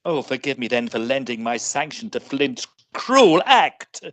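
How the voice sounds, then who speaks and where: An actor playing a scheming, villainous suitor in old-time radio melodrama, with florid, formal and insistent pleading. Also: male voice, mid-range voice, sing-song, measured pace, fairly bright tone. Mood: smooth, ardent.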